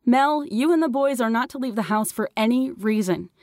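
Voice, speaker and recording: Woman acting an urgent, commanding mother, pitched high. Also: animated, normal speed, a little mellow, dry recording, clean studio sound, natural voice. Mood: urgent orders. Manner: raised, insistent, ordering. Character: besieged mother, urgent, commanding, protective, shouting up to her children. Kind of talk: audiobook character dialogue.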